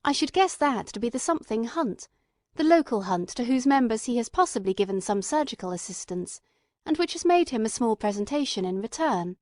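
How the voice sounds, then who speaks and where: A woman's voice: a little high, expressive, brisk, a little mellow, dry recording, clean studio sound, close to an everyday voice. A woman playing an earnest, eager male doctor and companion who narrates a detective audiobook in careful, literate storytelling. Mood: earnest, reasoning.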